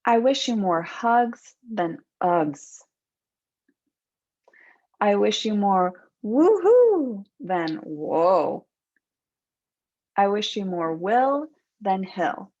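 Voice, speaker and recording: A woman volunteer reading a picture book aloud in measured phrases over a muffled video call. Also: mid-range voice, big pitch swings, darkish tone. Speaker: volunteer storybook reader.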